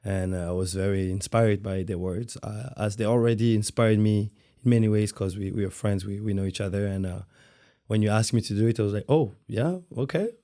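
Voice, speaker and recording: A man from France in his thirties, speaking English with a French accent. His low voice is gravelly and dark in tone, recorded dry and close. He talks softly and haltingly, often repeating words while he looks for the right phrase. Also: dry recording. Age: 30s. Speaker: guest dancer. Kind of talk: interview conversation.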